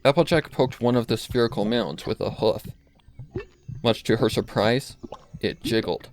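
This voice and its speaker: A man's voice: medium pitch, normal speed, a little mellow, a little hiss or hum. A man reading scripted story narration as the narrator of a piece of fan fiction.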